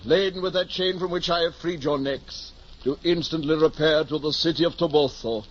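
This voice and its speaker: An actor playing a deluded, pompous ageing knight-errant in 1950s radio drama, speaking in lofty, archaic oratory. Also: male voice, on the high side, moderate pace, lightly acted. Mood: grandiose, commanding. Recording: muffled, noisy old radio recording.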